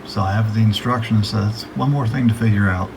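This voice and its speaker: A man with a gravelly voice narrating a casual hands-on video, hesitantly thinking aloud as he works. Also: on the low side, a little fast, noisy background. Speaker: hobbyist radio restorer narrating own video.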